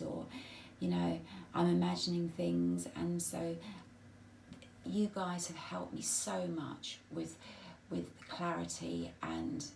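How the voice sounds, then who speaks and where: A middle-aged woman with a husky, hoarse voice and a dry throat, speaking softly and haltingly in a personal message to viewers. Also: fairly low pitch, a little hiss or hum, low-quality recording. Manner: soft, halting, with many restarts and ums. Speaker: vlogger.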